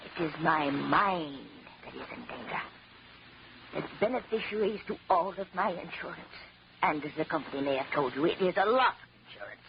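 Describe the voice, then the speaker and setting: An actress playing a tiny, aged, genteel widow, wistful and fretful, in a quavering, theatrical 1940s radio drama style. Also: female voice, low-pitched. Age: older. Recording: dull, noisy old radio recording.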